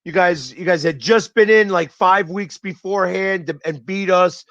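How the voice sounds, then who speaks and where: A man with a Philadelphia accent hosting a boastful, teasing sports chat, talking high and forceful. The sound is dry and a little dull. He brags that he has the louder voice. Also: normal speed, no room sound. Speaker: host. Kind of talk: casual chat.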